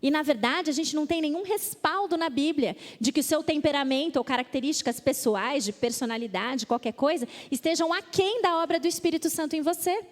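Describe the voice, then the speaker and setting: A woman's voice: sits high, a little fast, few pauses. A Brazilian woman speaking at a women's church conference, reading scripture aloud with emphasis and playfully mimicking excuses. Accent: Brazilian Portuguese. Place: church auditorium. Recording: reverberant church hall.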